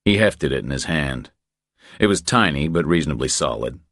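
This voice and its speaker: A man with an exceptionally low, deep voice narrates a thriller audiobook. His reading is measured and deliberate, and the recording is dry and close. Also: lively intonation, a bit bright, no echo. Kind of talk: scripted narration of crime thriller.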